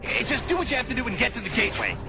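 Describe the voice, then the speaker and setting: A man's voice: very high-pitched, huge pitch swings, very fast, creaky, full character voice. An actor playing a serious, impatient superhero from the future in a video game, urging his partner on. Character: urgent, no-nonsense male superhero partner from the future. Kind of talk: video game hero acting. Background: loud game music.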